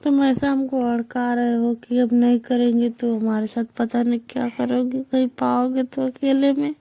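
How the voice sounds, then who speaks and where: A woman's voice: a little high, flat delivery, moderate pace, gravelly, mellow, no room sound. A young woman playing a wary, hesitant character in an amateur phone-call drama, with colloquial speech and an eastern Hindi-belt accent. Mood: uneasy.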